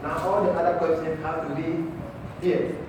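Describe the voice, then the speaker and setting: A man's voice: a little high, level tone, normal speed. A man from Ghana with a Ghanaian accent, patiently explaining things to visiting students as a mosque guide. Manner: patient, explaining, asks "okay?" and "eh?". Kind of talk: informal teaching talk. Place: large mosque hall. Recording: echoey hall from far off, with noise.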